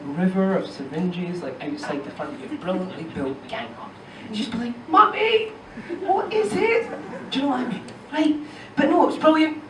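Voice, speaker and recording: A woman's voice: a little high, slight background noise. Young Scottish woman, stand-up, chatty asides, heard from a distance in a roomy club. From Scotland. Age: young adult. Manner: chatty, lots of "you know" and "right?" tags. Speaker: stand-up comedian. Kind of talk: stand-up comedy set. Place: comedy club stage.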